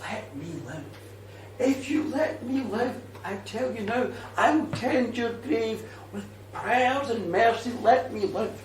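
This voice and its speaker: Brief: storyteller man playing devout dying woman, earnest, high voice. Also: expressive, slow, full character voice. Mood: pleading. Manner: pleading, earnest. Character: dying young woman, pious and defiant. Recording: roomy and distant, faint noise.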